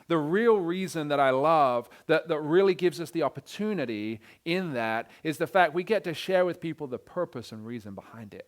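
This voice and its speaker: Energetic man preaching a sermon. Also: fairly high pitch. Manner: energetic, repeats words when starting sentences. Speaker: pastor.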